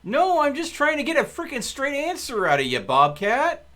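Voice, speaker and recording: A man's voice: extremely high pitch, huge pitch swings, normal speed, pushed and bright. A man streaming a video game and bantering casually with a co-player in a wordy, argumentative, exasperated way.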